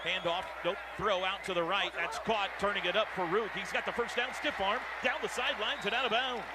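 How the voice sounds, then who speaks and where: High-pitched American football play-by-play man, roaring crowd. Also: steady tone. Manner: clipped rapid-fire phrases, urgent calls on big plays. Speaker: radio play-by-play announcer. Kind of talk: live American football radio commentary. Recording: roaring stadium crowd and heavy noise. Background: loud stadium crowd.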